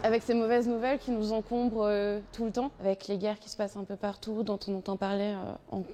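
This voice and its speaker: A woman's voice: mid-range voice, little pitch movement, a little fast, dark tone, slight background noise. A woman in a street interview who sounds anxious.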